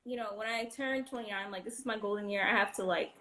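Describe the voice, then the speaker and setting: A woman's voice: mid-range voice, fast, pushed and bright. A woman in her late twenties talking as a guest in casual chat, loose and heartfelt with many likes and restarts. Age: 20s.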